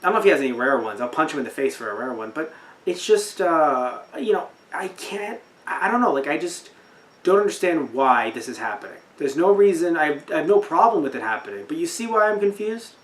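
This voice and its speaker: A man's voice: a little high, sing-song, fairly quick, a bit bright. A young man podcast host chatting casually about anime in a rambling, incredulous way, often repeating himself. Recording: roomy echo, distant from mic.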